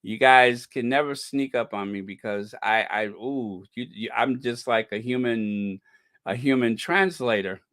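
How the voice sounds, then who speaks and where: A man hosting a casual livestream, chatting in a playful, theatrical, joking way with halting, drawn-out phrasing. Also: medium pitch, fairly bright tone, dry recording, studio quality. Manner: playful, joking, mock-indignant theatrical delivery. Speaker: livestream host.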